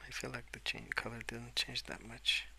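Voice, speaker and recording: A man speaking softly and hesitantly in a rambling ASMR style, often slipping into whispers at a desk indoors. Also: mid-range voice, monotone, normal speed, vocal fry, a little hiss or hum. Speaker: host of a colouring ASMR channel. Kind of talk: soft-spoken rambling ASMR. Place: at a wooden desk indoors, with pencil sounds.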